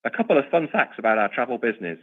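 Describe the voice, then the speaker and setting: A man speaking as a company executive, giving formal, measured prepared business remarks. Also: mid-range voice, big pitch swings. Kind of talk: prepared corporate earnings remarks. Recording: narrow, tinny conference-call line.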